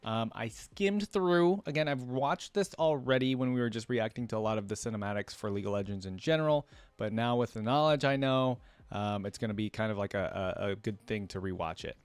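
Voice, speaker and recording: A man's voice: on the low side, expressive, brisk, few pauses, smooth, no echo. A man hosting a reaction video, commenting informally and enthusiastically as he thinks aloud.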